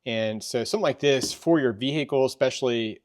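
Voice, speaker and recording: Male host, middle-aged, medium pitch. Conversational, informal review delivery that circles back over phrases. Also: a little fast. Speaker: video host. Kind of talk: casual product review chat.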